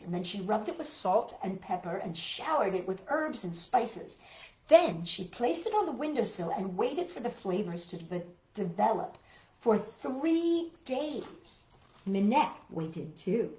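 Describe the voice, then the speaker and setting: Lady doing kids storytime, really swoopy expressive reading, little asides, echoey room. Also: mid-range voice, a little slow. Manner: animated, dramatic emphasis, chatty asides to listeners. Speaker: storyteller reading picture books to children. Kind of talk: expressive children's read-aloud. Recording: roomy, echoey room sound.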